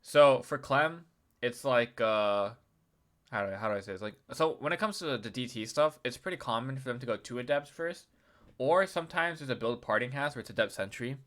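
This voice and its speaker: Bright-voiced man with a nasal cold. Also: mid-range voice, brisk. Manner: chatty, clears throat often, restarts. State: sick, slightly nasal. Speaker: YouTube host analyzing a game replay. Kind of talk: casual game analysis commentary.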